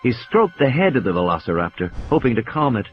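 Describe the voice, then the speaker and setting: A male audiobook narrator with a mid-pitched voice and a lively, wide range of intonation. He reads scripted dramatic narration over prominent music and background effects. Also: fairly quick, lo-fi sound. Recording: music and sound effects behind the voice. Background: music and background sound effects.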